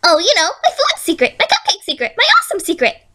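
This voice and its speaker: A woman's voice: very high-pitched, huge pitch swings, fast talker, pushed and bright, pitched above the performer's own voice, full character voice. A young-sounding woman voicing a manic, cheerfully sinister pink pony villain in a storybook reading, chatting with menacing casualness. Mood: giddy, confiding. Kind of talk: amateur storybook fan fiction reading.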